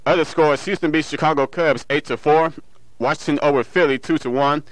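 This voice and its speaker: A man's voice: on the high side, a little fast, dry recording, poor audio. Man reading local radio news, dry sound. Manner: stumbles and corrects himself while reading. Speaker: radio newsreader and music show DJ. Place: radio studio.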